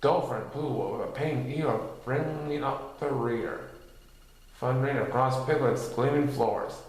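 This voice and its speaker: A man reading a children's story aloud as narrator, in an expressive storytelling style. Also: mid-range voice, a little slow. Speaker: storybook reader. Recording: very echoey, reverberant room.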